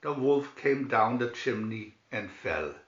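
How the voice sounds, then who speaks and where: Slow, animated man reading fairy tales. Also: mid-range voice. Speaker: storyteller. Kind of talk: slow, expressive children's fairy tale reading. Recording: roomy echo, slightly dull sound.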